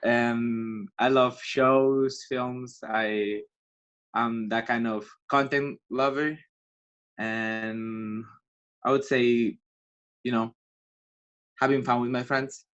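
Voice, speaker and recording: A young man from Spain with a Spanish accent, speaking English as a second language as a student guest in a casual podcast chat, with a halting way of talking and many restarts. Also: mid-range voice, fairly even intonation, fairly bright tone, dull audio. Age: young adult.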